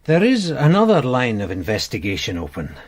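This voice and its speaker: An actor playing a wry, matter-of-fact British detective sergeant in a radio crime drama. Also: male voice, mid-range voice, wildly swooping pitch, fairly quick. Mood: probing. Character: loyal detective sergeant, wry, plodding, susceptible to women.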